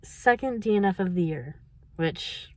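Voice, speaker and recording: A woman's voice: on the low side, animated, unhurried, no room sound. A young woman talking casually in a reading vlog, chatty and laughing often between her thoughts. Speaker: book vlogger.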